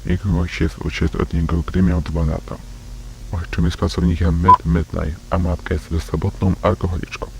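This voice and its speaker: Male narrator, extremely low pitch, monotone, detached case-file reading, analog horror, faint hum. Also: normal speed, creaky. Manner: detached, report-like. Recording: faint hum and background noise.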